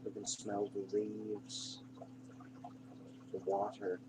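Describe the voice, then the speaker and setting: A man narrating a guided meditation in an unhurried, reassuring manner, pausing between short phrases. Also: lowish voice, completely flat delivery. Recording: clear background hum and noise.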